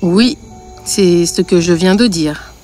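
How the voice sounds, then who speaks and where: A woman narrating a scripted fairy tale, with a deadpan streak. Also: fairly low pitch, takes it slowly, faint music behind.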